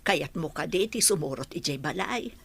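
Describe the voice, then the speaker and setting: A woman's voice: deep voice, expressive, normal speed, creaky, a bit bright, breathy edge, heavily acted. A woman playing a caring, patient village grandmother in a radio drama, with a northern Filipino accent. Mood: confiding.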